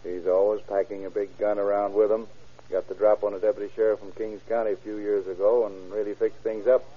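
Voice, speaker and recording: An actor playing an insistent, dry police detective in an old radio crime drama. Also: male voice, on the low side, level tone, fairly quick, lightly acted. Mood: businesslike, wry. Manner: insistent, wry, businesslike. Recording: muffled lo-fi old radio recording.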